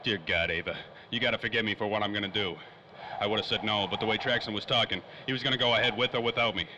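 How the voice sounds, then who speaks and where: A man's voice: lowish voice, steady tone, quick pace, highs cut off, noisy background, close to an everyday voice. A man playing a hardboiled, wisecracking private detective in a 1930s-style radio drama, with clipped tough-guy talk. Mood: grieving, tender.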